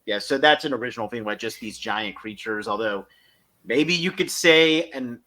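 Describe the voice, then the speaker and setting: A man co-hosting a podcast, recapping and reviewing episodes casually in a halting, piecemeal way. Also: medium pitch, a crowd in the background. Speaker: podcast co-host.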